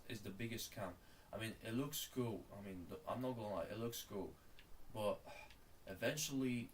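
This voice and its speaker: A man's voice: on the low side, far from the mic, a little hiss or hum, low-quality recording. Rambling Bulgarian man, a bit off-mic. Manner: rambling, profane, calls the listener bro. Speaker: streamer. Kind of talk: casual rant while gaming.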